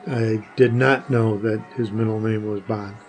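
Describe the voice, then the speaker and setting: A man's voice: on the low side, steady tone, measured pace, soft background music. A man answering questions as a podcast guest in casual, plain-spoken, brief replies.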